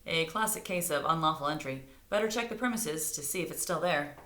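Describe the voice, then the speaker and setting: A woman reading a storybook as an official, deadpan male police officer. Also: deep voice, fairly quick, reverberant.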